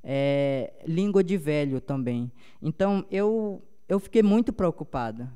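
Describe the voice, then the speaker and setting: A young man with a Paumari accent, a native Paumari speaker, giving a halting talk at a panel with many restarts. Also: fairly high pitch, lively intonation, dark tone, clean tone.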